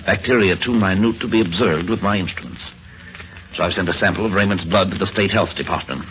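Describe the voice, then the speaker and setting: An actor playing a puzzled, defeated country doctor in an old radio drama, speaking in a measured, earnest way. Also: male voice, deep voice, fairly bright tone. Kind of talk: 1930s radio acting. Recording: hissy dull old radio recording.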